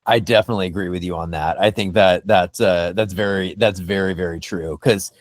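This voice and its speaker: A man hosting a casual podcast discussion, talking enthusiastically in a halting way and often repeating phrases. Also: deep voice, fairly even intonation, dry recording, clean studio sound. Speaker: podcast host.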